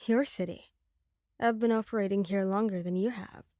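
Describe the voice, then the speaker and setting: A woman playing a guarded, defiant masked rival crime boss, speaking in a steady, controlled way in an audio roleplay. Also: mid-range voice, moderate pace, no echo, clean studio sound, natural voice.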